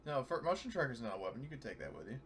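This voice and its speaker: A man's voice: medium pitch, big pitch swings, rapid-fire, a bit bright. A man playing a tabletop roleplaying game, chatting casually, picked up from a distance with noise around him. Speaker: tabletop RPG player. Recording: distant mic, clear background noise.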